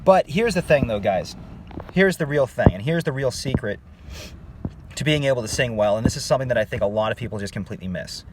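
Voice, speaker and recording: A man's voice: medium pitch, lively intonation, fast talker, darkish tone, a little hiss or hum, poor audio. A man teaching singing in a casual online lesson, talking to viewers directly and checking in with "okay?" as he goes. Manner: conversational, addresses viewers as "guys", frequent "okay?" check-ins.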